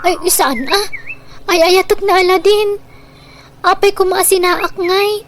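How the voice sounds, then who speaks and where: A woman acts out a young newlywed in an Ilocano comedy radio drama. Her voice is very high, apologetic and reasoning as she stands between family members. Soft music plays behind her. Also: fairly even intonation, measured pace. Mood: protesting. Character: young newlywed wife torn between mother and husband.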